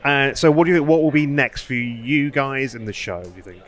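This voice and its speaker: A man's voice: mid-range voice, lively intonation, fairly quick, a bit bright. Rambling male podcast host. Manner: rambling, restarts, asks long questions. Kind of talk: casual interview chat.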